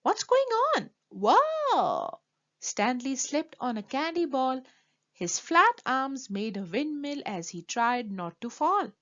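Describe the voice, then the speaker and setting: Female storyteller for kids' picture books: unhurried, emphatic read-aloud with big swings in pitch. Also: highs cut off. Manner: animated, emphatic delivery for children. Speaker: storyteller reading a children's book.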